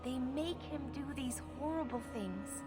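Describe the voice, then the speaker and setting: Scared naive elven girl in a video game, high pitched, begging softhearted, music in back. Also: animated, a little slow. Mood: distressed. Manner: pleading, earnest. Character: frightened, naive young elven captive girl, forgiving. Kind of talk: dramatic video game acting.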